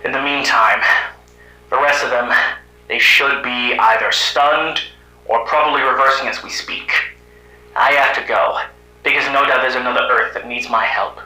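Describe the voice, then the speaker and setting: A man playing a weary, resolute hero in an amateur analog horror cartoon, speaking solemnly and deliberately. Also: medium pitch, steady tone, sharp tone, echoey room, rough recording. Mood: somber, resolute. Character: weary, resolute heroic fighter from another Earth, male.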